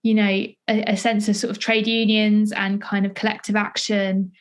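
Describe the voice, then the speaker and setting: A woman's voice: mid-range voice, flat delivery, normal speed. A woman chatting as an interviewer in a tentative, heavily hedged way. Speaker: interviewer, theatre maker. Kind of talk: casual interview conversation.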